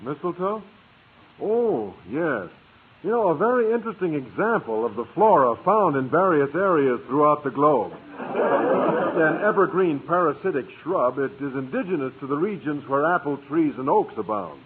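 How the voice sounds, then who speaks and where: A man's voice: a little high, lively intonation, normal speed, slightly rough. An actor playing a bashful, literal-minded man with a hesitant, pedantic manner in a 1950s radio sitcom. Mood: earnest, pedantic. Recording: noisy, tinny old radio recording.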